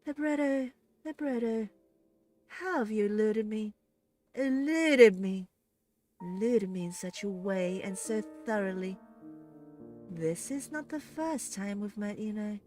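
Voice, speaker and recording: A woman voicing a mysterious woman villain with an obsessive, flippant and coldly teasing way of talking, raised somewhat above her own pitch. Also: measured pace, dark tone, faint music behind, natural voice. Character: menacing, mocking woman villain speaking over a speaker.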